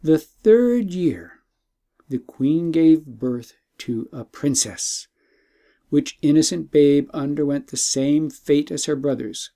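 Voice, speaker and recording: A man narrating an old tale as an audiobook in a measured, formal storytelling manner. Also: on the high side, dark tone.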